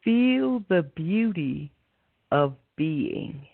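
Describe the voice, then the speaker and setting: A woman's voice: on the low side, animated, creaky, dry recording. Woman doing guided meditation talk, takes her time, dry sound thru a tinny phone line. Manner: unhurried, reflective, often seeking agreement. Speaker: host, spiritual guide.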